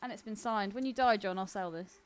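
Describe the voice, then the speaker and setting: A woman's voice: mid-range voice, a little fast, smooth. A British woman co-hosting a podcast with casual banter, blunt, teasing and sweary. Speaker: podcast host.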